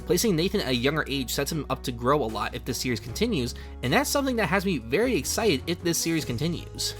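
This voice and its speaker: A man with a fairly high voice delivers a scripted video essay over music. He talks fast, in a conversational and emphatic way. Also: very animated, a bit bright. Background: music under the voice.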